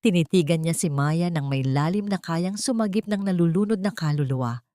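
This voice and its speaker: A woman reading a scripted dramatic story as a narrator, with solemn, expressive delivery. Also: fairly low pitch, brisk, dry recording, clean studio sound.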